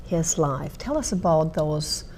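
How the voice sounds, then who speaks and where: A woman's voice: sits low, animated, unhurried, a little mellow, slight background noise. Woman hosting a TV interview show. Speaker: talk show host. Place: seaside inn.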